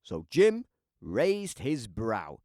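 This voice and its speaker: A man narrating a children's picture book as an animated bedtime read-aloud. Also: medium pitch, extremely slow, no echo, clean studio sound. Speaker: storybook narrator.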